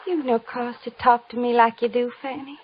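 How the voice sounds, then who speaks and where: A very high, girlish voice on a dull old radio recording a gentle young washerwoman who is expecting a baby. She pleads earnestly and softly in old-time radio drama style. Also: fairly even intonation, normal speed, breathy edge. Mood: hurt, earnest. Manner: soft-hearted, pleading, earnest.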